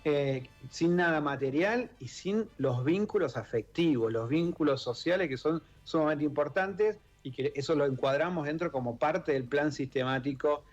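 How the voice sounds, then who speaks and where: A man's voice: fairly high pitch, normal speed, few pauses, dull audio. A man with a Rioplatense Argentine accent, a radio interview guest speaking thoughtfully, with many fillers and restarts. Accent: Rioplatense Spanish. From born in Italy, raised in Argentina. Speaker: guest, author and member of an exile-children group. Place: radio studio.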